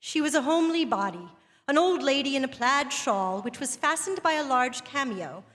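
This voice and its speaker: A woman's voice: sits high, lively intonation, normal speed, a bit bright. A woman performing a witty, indignant woman essayist in an emphatic, theatrical stage reading with arch, scornful wit. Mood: wry, observant. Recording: echoey theatre hall, long reverb.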